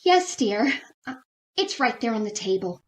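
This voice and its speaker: A woman playing an excitable, doting housewife in an old-time radio comedy, with a theatrical, gushing delivery. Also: on the high side, normal speed. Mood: anxious, coaxing.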